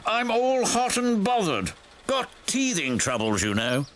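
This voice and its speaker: A man's voice: sits high, wildly swooping pitch, measured pace, fairly bright tone, a little hiss or hum, voice pushed up, exaggerated voice. A man voicing a keen, easily flustered new diesel engine in a children's story. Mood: apologetic.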